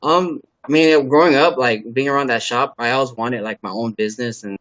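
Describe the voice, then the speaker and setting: A man from Los Angeles, a native Khmer speaker, chats as a guest on a live stream. He talks in a relaxed, halting way, stacks up fillers and circles back on himself. His voice sits in an ordinary middle pitch, and the recording is dry, with no room sound. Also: no echo, dull audio. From Los Angeles, USA. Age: adult parent. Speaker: guest, car builder and YouTuber. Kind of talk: casual interview chat.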